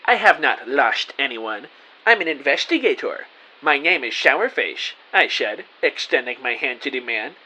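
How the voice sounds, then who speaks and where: A man playing a self-important, excitable private investigator, narrating his case theatrically in an audio drama. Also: medium pitch, moderate pace, exaggerated voice, low-quality recording. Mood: businesslike. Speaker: narrator and lead actor. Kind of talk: first-person detective audio drama narration.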